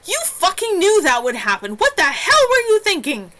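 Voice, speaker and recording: A woman voicing a protective young man who yells in fury at a friend. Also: very high voice, huge pitch swings, normal speed, voice pushed up, heavily acted. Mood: furious. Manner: yelling, then gruff and tender.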